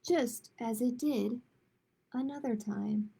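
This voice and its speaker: Woman, higher-pitched voice, very slow pace, children's storytime host, measured delivery. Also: creaky, noisy background. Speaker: children's librarian hosting storytime. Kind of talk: picture book read-aloud, then casual announcements.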